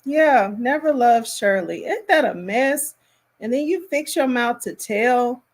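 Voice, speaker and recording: A woman hosting a casual reaction commentary, speaking in an appalled, emphatic and halting way. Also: a little high, animated.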